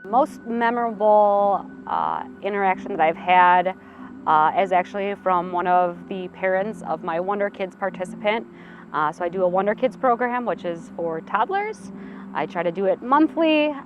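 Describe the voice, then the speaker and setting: A woman's voice: fairly low pitch, a little hiss or hum. A woman in her thirties from Illinois, a museum program coordinator answering interview questions in an earnest, reflective way with frequent "uh" and "um". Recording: slightly muffled audio with faint music behind.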